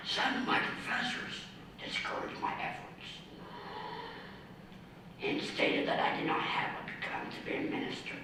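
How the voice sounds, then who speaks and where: A man in his thirties from Ohio with a raspy, mostly whispered voice from vocal cord damage, reading a personal speech slowly and methodically with restarts. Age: 30s. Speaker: award speaker, ordained minister. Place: community center hall. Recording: echoey hall from far off, faint noise.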